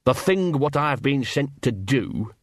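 A man's voice: medium pitch, big pitch swings, measured pace, no echo, studio quality. Man putting on a cheeky, nervous talking dog. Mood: nervous wheedling. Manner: rambling, colloquial, repeating himself.

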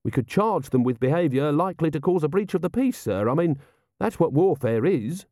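A guileless young officer of the watch, played by a male narrator, respectful and painfully earnest. The pitch is lifted well up and bounces brightly along in this storybook reading. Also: expressive, fairly quick, dry recording, clean studio sound, close to an everyday voice. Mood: helpful, earnest.

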